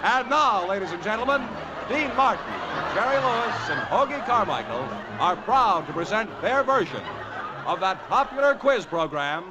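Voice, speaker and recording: A man's voice: sits high, big pitch swings, normal speed, a loud crowd. An American man making a scripted announcement in the style of 1950s radio. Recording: dull, noisy vintage radio recording.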